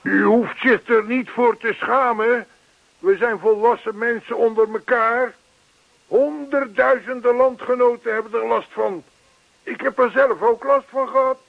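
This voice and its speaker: A man's voice: very high voice, fairly even intonation, unhurried, vocal fry, no room sound, full character voice. A man playing an earnest, chatty older neighbour in a radio play, persistent, reassuring and long-winded. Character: earnest, chatty older male neighbour zealous about yoga. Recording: thin, phone-like old radio play recording.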